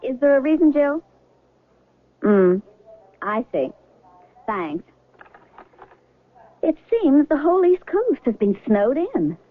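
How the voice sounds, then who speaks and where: An actress playing an unflappable, teasing airline counter clerk in a 1970s radio drama, breezy and matter-of-fact. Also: female voice, high voice, big pitch swings, slow pace, long pauses, exaggerated voice. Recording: muffled, phone-like old radio broadcast.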